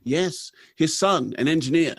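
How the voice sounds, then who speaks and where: A man's voice: a little high, measured pace, a bit bright. An actor playing a proud, unsentimental robot factory manager in an old-time radio drama, theatrical and confident as he explains things. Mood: amused, lecturing. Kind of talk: live recreation of 1930s radio drama.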